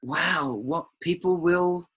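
A man, a stage performer, talking as a guest in a casual interview, thoughtful and halting with restarts. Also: a little high, fairly bright tone, husky.

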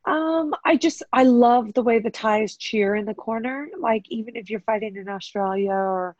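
A woman from the United States, a fight coach, talking casually in an interview with a very loud, carrying voice and animated bursts of cheering. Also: a little high, normal speed, no room sound, dull audio. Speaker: Muay Thai coach.

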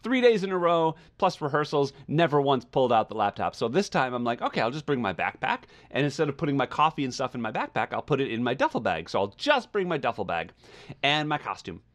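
A man's voice: mid-range voice, very animated, fast talker. A man co-hosting a podcast, talking casually and telling anecdotes in a conversational way. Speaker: podcast co-host. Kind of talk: casual chat and personal anecdotes.

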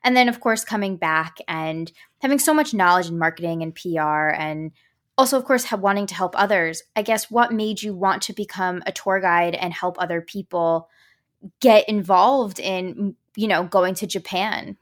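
A woman's voice: on the low side, moderate pace. A woman hosting a travel podcast who talks in an upbeat, gushing way and praises her guest often. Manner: upbeat, gushing, many "I love that". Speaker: podcast host. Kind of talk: enthusiastic podcast interview.

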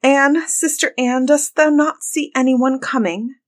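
A woman's voice: medium pitch, lively intonation, moderate pace, natural voice. A woman voicing a frightened, desperate young wife in a fairy tale, pleading and calling plaintively. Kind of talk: dramatized fairy-tale storybook reading.

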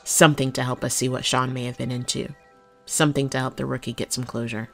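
A woman's voice: extremely low pitch, level tone, quick pace, creaky, faint music behind. An actress playing a loyal, candid policewoman who speaks deferentially but frankly to her captain in an audio drama. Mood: matter-of-fact, candid.

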